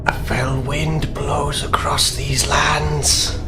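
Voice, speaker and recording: A man's voice: a little high, flat delivery, very slow, music in the background, pitched above the performer's own voice. A man playing a timid, clingy adventurer in a tabletop game, stammering and pleading as he talks. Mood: ominous whisper.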